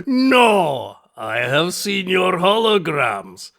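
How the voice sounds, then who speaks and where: An actor playing a genial, grandiose big-game hunter in an audio drama, with formal, courtly, emphatic speech and a gravelly, husky voice. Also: male voice, high-pitched, very animated, drawn-out words, a bit bright, heavily acted. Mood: gleeful, grandiose.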